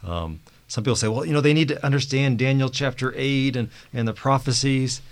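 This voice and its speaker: A man's voice: medium pitch, fairly quick. A man on a Bible study panel who explains the passage, often repeating words and ending points with "right?". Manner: repeats words while thinking, adds tag questions.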